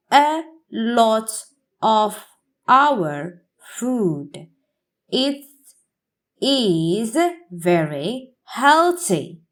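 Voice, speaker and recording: A woman English teacher reading a practice passage aloud for learners, enunciating deliberately word by word. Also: a little high.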